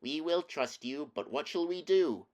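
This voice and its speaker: A man voicing a tiny, proud female mouse queen in a squeaky little voice for a storybook. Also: expressive, unhurried, a little mellow. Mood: cautious, agreeable. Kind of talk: storybook character reading.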